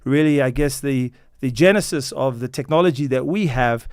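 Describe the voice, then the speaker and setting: Earnest man with a smooth voice. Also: medium pitch, darkish tone, no echo, studio quality. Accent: American. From United States. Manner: measured, earnest, many fillers and restarts. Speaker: company founder guest. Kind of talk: interview answers.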